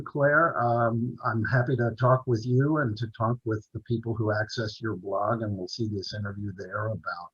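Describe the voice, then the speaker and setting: Husky-voiced man on a video call. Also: fairly low pitch, highs cut off. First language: English. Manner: rambling storytelling with frequent restarts and fillers. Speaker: author and translator, interview guest. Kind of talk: conversational interview over video call.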